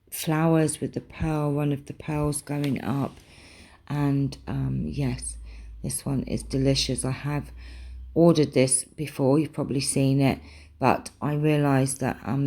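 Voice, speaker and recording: A woman's voice: a bass voice, dark tone. A young British woman in a casual craft vlog, chatting and rambling with lots of ums, her voice a little worn and throaty. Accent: British English. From England. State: slightly losing her voice, clearing her throat. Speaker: craft vlogger. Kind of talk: casual chat showing craft supplies.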